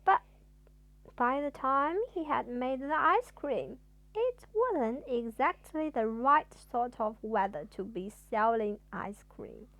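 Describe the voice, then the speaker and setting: High-pitched, animated woman reading a children's story. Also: no echo. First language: Chinese. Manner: theatrical, drawn-out emphasis on words. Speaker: mother reading a bedtime story to her child.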